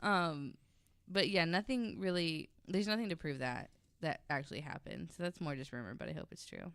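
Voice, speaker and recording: A woman with a notably deep voice tells a story on a podcast in a casual, chatty way. Her voice is smooth with plenty of vocal fry, and she drops in wry asides. The sound is dry and close. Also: moderate pace, fairly bright tone, dry recording. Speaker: podcast guest host telling a story.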